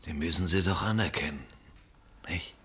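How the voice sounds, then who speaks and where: An actor playing a gruff, self-justifying officer on night duty in a German radio play, rough-voiced and colloquial. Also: male voice, low voice, normal speed, bright, forceful tone, exaggerated voice. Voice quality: rough, husky. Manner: colloquial, grumbling.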